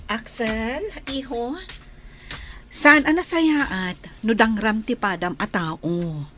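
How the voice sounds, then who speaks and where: A woman's voice: fairly high pitch, takes it slowly, a bit bright, a little hiss or hum. An actress with an Ilocano accent playing a caring, devout woman in a radio drama. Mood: gently admonishing. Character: caring, devout woman who gently admonishes a boy.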